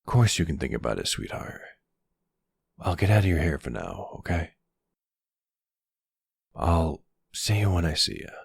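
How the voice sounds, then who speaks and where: A man playing a smug, flirtatious middle-aged mafia boss in an ASMR roleplay drama, sweet-talking and gravelly. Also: sits low, big pitch swings, normal speed, long pauses, no echo, studio quality, exaggerated voice. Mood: tender, flirtatious.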